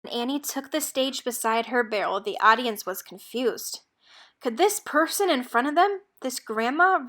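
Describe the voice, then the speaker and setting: Female storybook narrator, higher-pitched voice, expressive and measured read-aloud delivery. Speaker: storybook reader. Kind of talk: read-aloud narration of a children's picture book.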